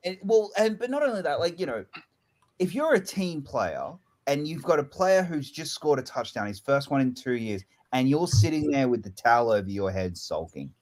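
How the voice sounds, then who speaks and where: A man in a relaxed sports chat, his pitch rising and falling expressively. He teases and stresses his points bluntly. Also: normal speed.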